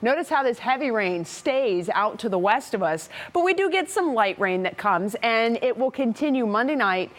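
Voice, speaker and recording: A woman's voice: fairly high pitch, expressive, normal speed, few pauses. American woman giving a TV weather forecast. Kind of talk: live local TV weather forecast.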